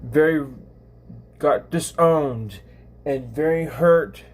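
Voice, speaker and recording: A man in his twenties with a slightly high-pitched voice hosts a casual livestream. He speaks in a halting way, often repeating himself and restarting phrases as he addresses his viewers. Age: 20s. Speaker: live streamer, channel host.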